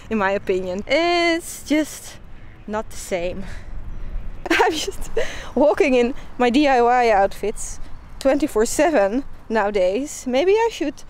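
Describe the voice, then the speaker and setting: Giggly high Dutch woman vlogging. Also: animated, takes it slowly, darkish tone. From Netherlands. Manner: playful, giggly, self-mocking. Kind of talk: casual vlog chat. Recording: echoey room, faint background noise.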